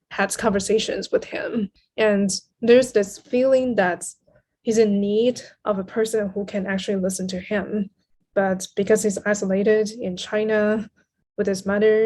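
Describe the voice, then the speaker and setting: A woman with a soft-edged, mellow tone talks as a guest on a book podcast. Her pitch sits in the middle, and she reflects thoughtfully, feeling her way toward the right words.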